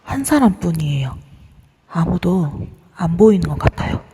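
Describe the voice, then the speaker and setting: A woman playing an excitable young woman in a ghost-hunting group. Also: moderate pace, a little hiss or hum. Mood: excited. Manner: excitable, high-strung. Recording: long echoey reverb.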